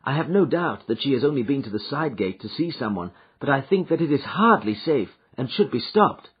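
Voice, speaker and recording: A man voicing a worried, dutiful young woman in an audiobook reading, in his own husky voice. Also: medium pitch, big pitch swings, a little fast. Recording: dull lo-fi recording.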